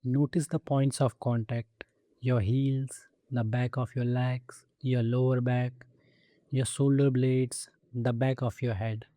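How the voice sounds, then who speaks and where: Very dark, soft-toned man guiding meditation. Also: medium pitch, flat delivery, dry recording. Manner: soothing, gentle instruction with measured pauses. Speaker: meditation guide. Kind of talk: guided body scan meditation.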